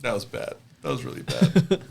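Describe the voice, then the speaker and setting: A man's voice: fairly low pitch, wildly swooping pitch, normal speed, gravelly, a little mellow. A man joking casually and swearing as he co-hosts a podcast. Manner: joking, profane. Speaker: podcast co-host. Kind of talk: casual chat.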